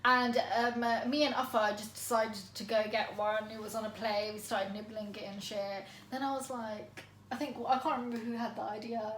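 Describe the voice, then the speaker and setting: A high, bright-voiced young woman telling a story to her siblings, animated and laughing often. Her voice rings in an echoey room. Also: moderate pace, hardly any pauses, a little hiss or hum. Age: 20s. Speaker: vlogger. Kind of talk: casual sibling chat.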